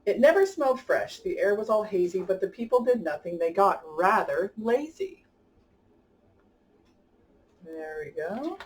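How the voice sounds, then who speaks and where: A woman's voice: medium pitch, big pitch swings, measured pace, pauses often, a little hiss or hum. A woman speaks from a little way off the mic as a children's story-time host. She is enthusiastic and talks straight to her young audience, whether reading a picture book or chatting. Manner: enthusiastic, addressing children directly. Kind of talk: picture-book read-aloud and chat for children. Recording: distant from the mic.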